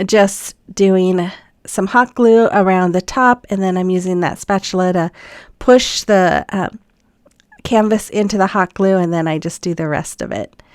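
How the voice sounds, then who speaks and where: A woman's voice: fairly low pitch, unhurried, darkish tone, clean tone, dry recording, clean studio sound. A middle-aged woman with a stuffy cold, chatting through a DIY project step by step with frequent ums. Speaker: craft channel host. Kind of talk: narrated DIY voiceover.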